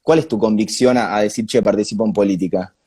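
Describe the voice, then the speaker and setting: Hombre porteño de voz algo apagada. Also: male voice, lowish voice, steady tone, fast talker, mellow, husky. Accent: Buenos Aires Argentine Spanish. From Buenos Aires area, Argentina. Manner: colloquial, direct address. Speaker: interviewer. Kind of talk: informal conversational interview.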